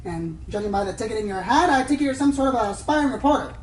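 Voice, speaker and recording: A woman's voice: on the high side, animated, darkish tone, a little hiss or hum, close to an everyday voice. A woman voicing a pompous, condescending veteran pony news reporter in an amateur radio play, heard on a roomy, distant mic. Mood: cocky, condescending. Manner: pompous, fast-talking, dismissive. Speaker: voice actor in an audio drama. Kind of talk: fan radio play acting.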